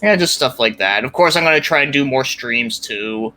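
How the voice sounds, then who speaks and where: A man's voice: mid-range voice, lively intonation, moderate pace, pushed and bright. A man speaking as a podcast guest in casual, informal conversation about video games, rambling a little.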